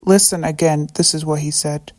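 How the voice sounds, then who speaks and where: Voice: medium pitch, fairly even intonation, moderate pace, noisy background. A narrator, a native Somali speaker, reads a scripted debunking in an indignant tone. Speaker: video essay narrator.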